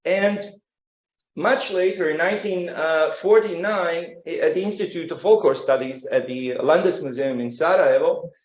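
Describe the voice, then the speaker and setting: A man gives an academic presentation in a halting, conversational manner, repeating words as he goes. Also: on the high side. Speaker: symposium speaker, music researcher. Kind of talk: academic presentation with informal asides. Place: indoor symposium room. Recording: roomy, echoing live talk.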